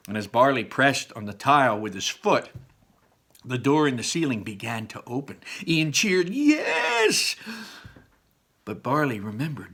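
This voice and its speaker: A man's voice: mid-range voice, pushed and bright. A man reading aloud to children as a storyteller, dramatic and emphatic, with simple explanatory asides.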